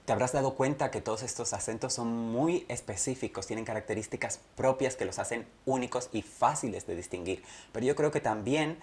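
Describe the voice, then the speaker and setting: A man teaching a Spanish lesson to learners in a measured, thoughtful way, often pausing and correcting himself. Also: medium pitch, a little mellow. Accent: not Colombian. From not Colombia. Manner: measured, thoughtful, with frequent hesitations and self-corrections. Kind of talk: explanatory video lesson to learners.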